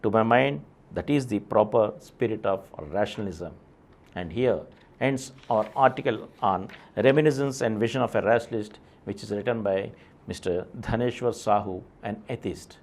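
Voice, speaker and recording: A man with an Indian accent reads a scripted essay in a formal, declamatory way, with deliberate phrasing and emphatic openings that fall away at the ends. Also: on the low side, darkish tone. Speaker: reader presenting an article.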